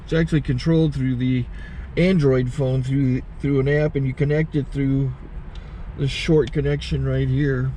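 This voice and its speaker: Man in a truck cab, mellow, halting tech demo, faint road noise behind. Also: medium pitch. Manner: relaxed, halting, self-correcting. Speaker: channel host, recording taper. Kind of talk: casual how-to demonstration. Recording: faint car and outdoor noise.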